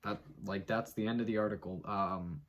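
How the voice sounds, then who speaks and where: A man's voice: steady tone, normal speed, highs cut off. Man doing a mock-dramatic reading. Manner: theatrical, swings between booming and muttered. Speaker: YouTuber reading an article.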